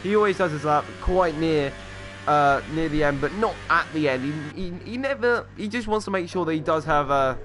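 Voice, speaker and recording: Male sim-race commentator, slightly high voice, excitable lap calls, music bed clearly audible. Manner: quick, excitable lap-by-lap calling with asides.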